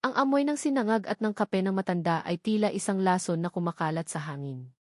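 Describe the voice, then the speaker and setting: Female audiobook narrator, dramatic scripted storytelling, medium pitch, dry recording. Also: animated, a little fast, a little mellow, no echo.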